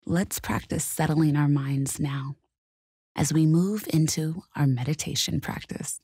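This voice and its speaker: A woman leading a guided meditation in a calm, gentle, soothing and measured way. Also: sits low, breathy edge, no room sound, studio quality.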